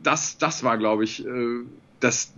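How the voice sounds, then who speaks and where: A man in his forties, a voice actor as guest, talking reflectively over a video call from a room at home, pausing often with fillers. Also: mid-range voice, bright, forceful tone, highs cut off. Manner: reflective, thinks aloud with many fillers and restarts. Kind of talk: casual video-call interview.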